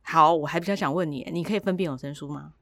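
A woman's voice: sits low, quick pace, fairly bright tone, dry recording, studio quality. A woman podcast host with a Taiwanese Mandarin accent, chatting casually with playful, teasing banter. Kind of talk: casual chat.

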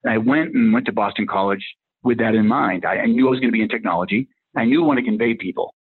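Massachusetts guy, 50s, tech exec rambling thru his career, husky voice, sounds like a phone line. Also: lowish voice, fast. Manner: rambling, acts out dialogue in anecdotes. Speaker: tech executive guest. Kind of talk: casual career storytelling.

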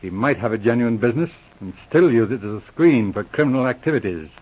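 An actor playing a calm, methodical senior police inspector in 1940s radio drama, explaining things with measured authority. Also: male voice, medium pitch, breathy edge. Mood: methodical, confiding. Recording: dull, muffled old radio recording.

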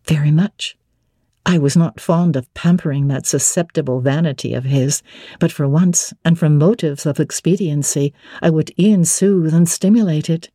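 A woman's voice: deep voice, normal speed, mellow, dry recording, studio quality. A woman narrator giving a dramatic reading of classic literature. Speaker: audiobook narrator.

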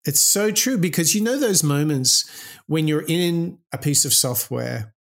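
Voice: mid-range voice, expressive, measured pace. A podcast host in casual conversation who picks up the guest's points and builds on them. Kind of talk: casual interview talk.

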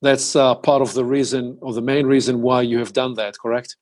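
Guy hosting an interview, average pitch, asks stuff slowly phrase by phrase, conversational. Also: fairly even intonation, darkish tone. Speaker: interviewer, host.

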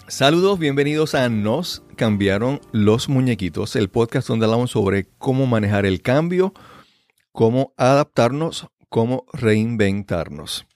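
A man's voice: medium pitch, animated, a little slow, darkish tone, dry recording, clean studio sound, soft background music. A man hosting a podcast, introducing and interviewing in a conversational way. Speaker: podcast host.

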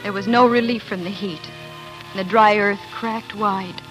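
A woman's voice: mid-range voice, fairly even intonation, measured pace, a bit bright, lightly acted, poor audio. An actress playing an earnest young pioneer farm wife and mother, telling her story in a 1940s radio drama. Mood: grave storytelling. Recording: 1940s radio recording with music.

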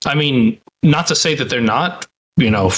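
A man's voice: mid-range voice, animated, moderate pace, a bit bright, slightly rough. A man co-hosting a podcast, chatting casually and earnestly about a fantasy series. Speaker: podcast co-host. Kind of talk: casual chat.

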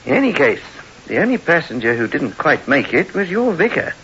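An actor playing a brisk, suspicious police inspector in an old radio drama, asking probing, insinuating questions. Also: male voice, a little high, very animated, fairly bright tone. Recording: hissy, muffled 1960s radio recording.

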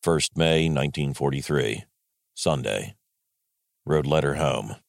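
A man with an American accent reading as a wartime army general, in a clipped, matter-of-fact way with a gravelly voice. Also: extremely low pitch, slow, dry recording, clean studio sound, full character voice. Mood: terse, composed. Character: male US Army general writing a terse wartime diary. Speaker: actor reading a wartime diary. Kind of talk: dramatic diary reading.

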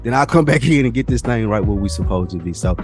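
A man's voice: fairly low pitch, expressive, fast, soft background music. A young man co-hosting a podcast in casual chat, blunt and direct, with a lot of slang. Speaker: podcast co-host.

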